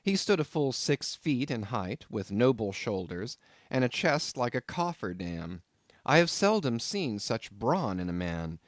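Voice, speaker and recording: A man reading a classic novel aloud as scripted narration, in a measured, expressive storytelling manner. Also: medium pitch, no room sound, studio quality. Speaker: audiobook narrator.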